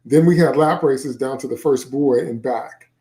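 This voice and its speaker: A smart-aleck sixth-grade boy acted out by a man rambles through his thoughts in a storybook reading. The tone is mellow and the delivery chatty and wry, like a geeky kid's inner monologue. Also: mid-range voice, moderate pace, roomy sound, lightly acted. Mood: chatty, carefree. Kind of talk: first-person children's novel read aloud.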